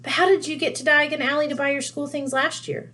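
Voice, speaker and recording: A woman voicing an eager, excitable middle-aged wizard father full of curiosity in a storybook reading. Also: high-pitched, quick pace, voice pushed up. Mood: eager, amazed. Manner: excitable, inquisitive.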